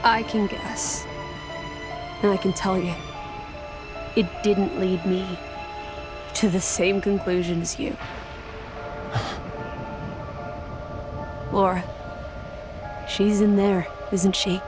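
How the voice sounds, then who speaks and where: A woman's voice: mid-range voice, fairly even intonation, very slow, pauses often. An actress playing a proud, blunt young woman warrior with hidden hurt in a video game cutscene. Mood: wounded, probing. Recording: music behind the voice.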